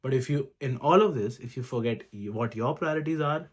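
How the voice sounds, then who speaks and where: A man in his twenties, from India, giving earnest, casual advice to camera. He lists things one by one and fills pauses with frequent ums. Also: medium pitch, husky. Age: 20s. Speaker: vlogger sharing advice. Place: room with awards on display.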